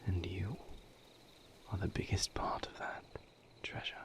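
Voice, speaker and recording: A man playing a weary vampire lover who confides a painful past in a hushed, halting, solemn voice, as an intimate audio roleplay. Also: deep voice, level tone. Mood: tender, grateful. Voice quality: hushed, breathy whisper with gravelly creak.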